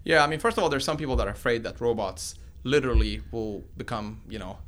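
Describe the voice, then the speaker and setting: His voice is smooth and clear, sitting at an ordinary male pitch. He is a man in his forties from Iran who grew up in Sweden. He answers interview questions conversationally, explaining things informally like a researcher turned founder. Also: fast talker, fairly bright tone. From born in Iran, grew up in Sweden. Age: 40s. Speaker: tech company founder and computer science researcher being interviewed.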